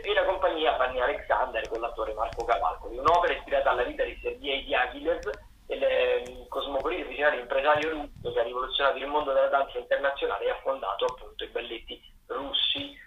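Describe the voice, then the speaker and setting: A man with a bright, forward voice presents radio arts listings. He speaks hesitantly, often reaching for the next word. The recording is roomy and echoey, with faint noise behind him. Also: medium pitch, highs cut off. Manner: halting, hesitant, searching for words. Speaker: radio theatre correspondent. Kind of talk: spoken listings of theatre and dance events.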